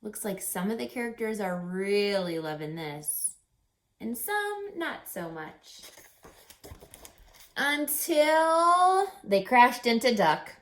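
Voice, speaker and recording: Woman, children's read-aloud, unhurried, lively pitch swings, instructive teacher delivery. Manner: deliberate, instructive, dramatic pauses. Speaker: teacher reading a picture book aloud. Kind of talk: expressive children's read-aloud with questions to young listeners.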